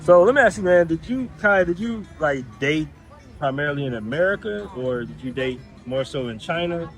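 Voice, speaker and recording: A man's voice: on the high side, animated, moderate pace, faint music behind. A man hosting an interview, asking direct questions and giving short affirmations. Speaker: host.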